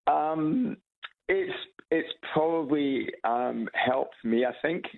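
A man's voice: fairly high pitch, slow, creaky, slight background noise. A man with an Edinburgh Scottish accent calling in to a radio show, hesitant and repeating his words as he chats. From Scotland. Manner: hesitant, repeats words, chuckles at own stories. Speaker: caller. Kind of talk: casual phone chat. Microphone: phone on loudspeaker. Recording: tinny phone line.

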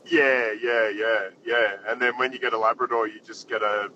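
A man's voice: medium pitch, flat delivery, moderate pace, bright, forceful tone, lo-fi sound, slight background noise. An Australian man calling a radio quiz and chatting casually, hesitant and laid-back. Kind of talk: casual chat.

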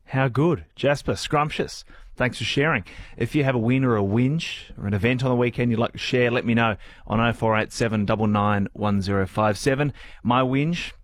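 An Australian man hosting morning radio, chatting and reading out listener texts in a relaxed way. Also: medium pitch, dry recording. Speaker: radio host. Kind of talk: casual morning radio chat. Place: radio studio.

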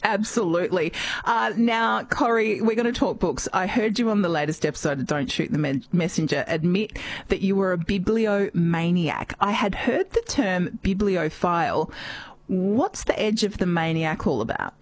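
Australian woman radio host, fairly low voice, easy upbeat chat. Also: moderate pace.

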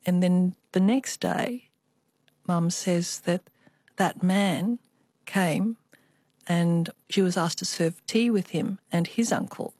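A woman artist being interviewed, telling family stories in a reflective, unhurried way. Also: on the low side, a little mellow, no room sound, clean studio sound. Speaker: guest, artist. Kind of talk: radio interview storytelling.

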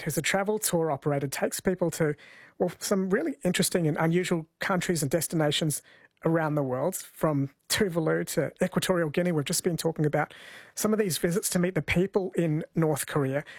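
A man's voice: a little high, no echo. A man hosting a radio interview, chatting with his guest and reading out listener texts in a conversational way.

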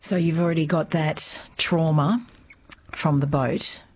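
Lower-voiced woman interviewer, gently probing. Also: steady tone, a little slow, breathy edge. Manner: gentle, probing questions, rephrases often. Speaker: radio host. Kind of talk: radio interview.

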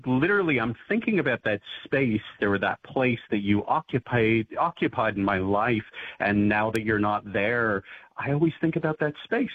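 A man's voice: medium pitch, slightly rough, narrow, tinny audio. A man, a radio guest who studies languages, chatting casually and explaining foreign phrases with enthusiasm.

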